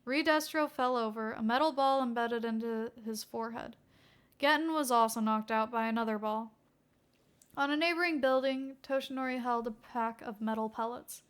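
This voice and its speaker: A woman's voice: on the high side, normal speed, smooth. A woman reading a fan fiction story aloud as scripted narration.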